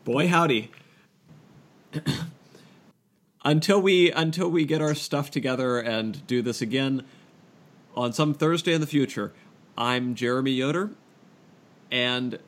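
A man hosting a podcast in casual discussion, halting and self-deprecating, repeating phrases as he works out his thought. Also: mid-range voice. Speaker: podcast host.

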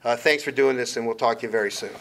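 A middle-aged man from Detroit, hosting a casual sports interview in a wordy, halting way. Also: mid-range voice, fairly bright tone, slight background noise, rough recording. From Detroit, Michigan. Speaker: sports show host, interviewer.

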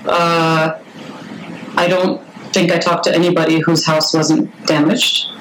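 A podcast guest answering casually on a remote call, speaking in a subdued, halting and hesitant way. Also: mid-range voice, level tone, a bit bright, poor audio. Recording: clear background noise on remote call.

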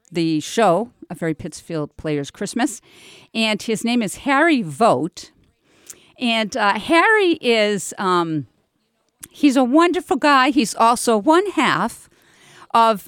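A woman radio host speaks at a middling pitch in a dry, close studio sound. Her delivery is informal and effusive, rambling along as she improvises a live community program. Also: smooth, no room sound.

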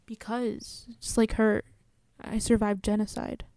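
Actress as woman refugee survivor, radio drama, soft dark tone, measured, grave, close dry recording. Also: medium pitch, little pitch movement, dry recording, close to an everyday voice. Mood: earnest, grave. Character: guarded woman refugee who survived genocide. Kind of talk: radio play acting.

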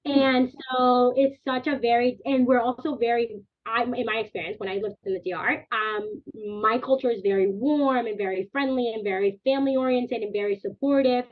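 A woman in her thirties who grew up in the Dominican Republic talks as an interview guest, her voice sitting slightly high. She speaks earnestly and with animation, stringing long clauses together. The sound is narrow and tinny, like a phone call. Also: fairly even intonation, normal speed, hardly any pauses. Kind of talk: podcast interview.

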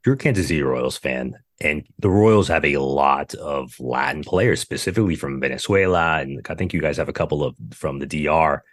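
Male podcast host, very low voice, dry close sound, rambling questions. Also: moderate pace, hardly any pauses, dry recording. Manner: rambling, long questions with restarts. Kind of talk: casual interview questions.

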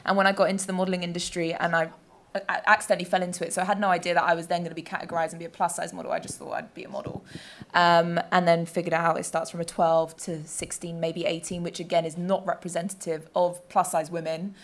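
A woman's voice: on the low side, level tone, quick pace. A woman giving a casual, informal answer as a panelist in a discussion. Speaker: panelist, plus size model. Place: live panel event.

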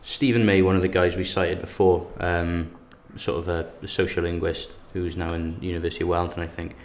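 A man's voice: low voice, flat delivery, brisk, a little hiss or hum. A man in casual academic discussion, talking with many restarts and emphatic bursts.

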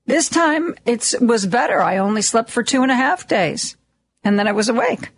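A woman hosting a radio talk show in a studio, with a slightly raspy, hoarse voice and a chatty, self-deprecating way of talking full of ums and restarts. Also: a little high, animated, normal speed. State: a little hoarse, lingering cough. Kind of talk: casual on-air monologue. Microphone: studio microphone.

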